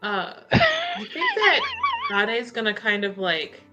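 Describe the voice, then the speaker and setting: A woman's voice: medium pitch, wildly swooping pitch, a little slow, dull audio, over loud music. A woman chatting casually and playfully as a player in a tabletop roleplaying game.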